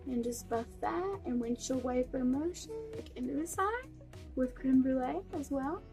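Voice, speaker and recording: A woman's voice: high voice, animated, a little slow, darkish tone, faint music behind. A young woman with a cold and a stuffy nose, chatting through a makeup tutorial step by step. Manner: chatty, friendly step-by-step explaining. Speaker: beauty vlogger.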